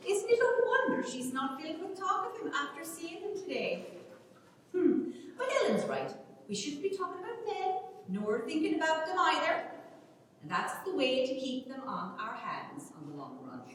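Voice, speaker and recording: Woman acting high-voiced young country woman, echoey hall. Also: very animated, moderate pace. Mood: gossipy, arch. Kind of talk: staged dramatic reading of a play. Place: large reverberant hall at a public event. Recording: echoey hall, far from microphone, noisy.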